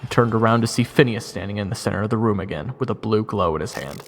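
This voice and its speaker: A man's voice: fairly low pitch, fast. A man giving first-person dramatic scripted narration as the hero of a fantasy audio roleplay. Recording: long reverb, music under the voice.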